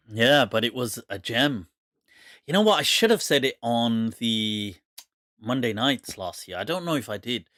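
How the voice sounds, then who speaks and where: Man, 40s, relaxed chatty host, mid-pitched, close dry mic. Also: no room sound. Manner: chatty, laughs often, relaxed asides. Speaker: host answering viewer questions. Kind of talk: casual live Q&A.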